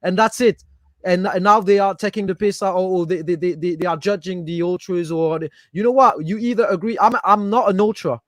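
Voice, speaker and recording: Passionate Paris-born man, high and dry. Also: steady tone, fairly quick, dry recording. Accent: French. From Paris, France. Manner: passionate, repeats words while searching for them. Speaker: guest, football fan. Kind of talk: casual podcast debate.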